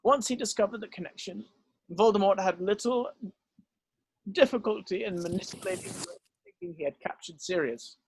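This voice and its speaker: A man voicing a weary, gently remorseful old wizard headmaster in a script read-through, speaking in a measured, solemn way. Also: high voice, animated, vocal fry, a little hiss or hum, rough recording. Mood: solemn, explaining.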